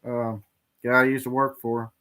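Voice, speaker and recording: A man, a farmer co-hosting a livestream and reading out viewer questions in casual chat. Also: mid-range voice. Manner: drawling, relaxed, halting with fillers.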